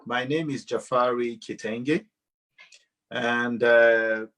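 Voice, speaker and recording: A man with a Rwandan accent shares a personal testimony to a church audience, speaking deliberately and halting with long pauses. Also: mid-range voice, fairly even intonation, fairly bright tone.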